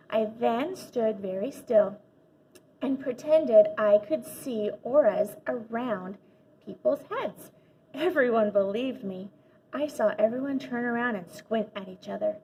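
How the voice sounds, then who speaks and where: A woman reading a children's story aloud like a teacher, expressive and animated with dramatic emphasis. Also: a little high. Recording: dull lo-fi audio with faint noise.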